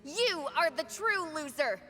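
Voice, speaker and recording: A proud young princess from a video game, declaiming her lines with grandiose drama. Her voice is high and forcefully bright, and she takes her time over each phrase. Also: expressive, unhurried, soft background music, exaggerated voice. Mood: defiant, impassioned. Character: proud, theatrical young princess facing her mocking dark self. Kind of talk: theatrical video game voice acting.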